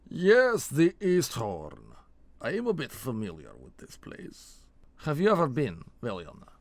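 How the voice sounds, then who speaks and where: A man's voice: mid-range voice, huge pitch swings, unhurried, dry recording, pitched above the performer's own voice, full character voice. Man doing a burly, reproachful tracker with thick accent. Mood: measured. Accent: put-on thick fantasy accent. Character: bulky bearded male tracker, squeamish, mildly reproachful.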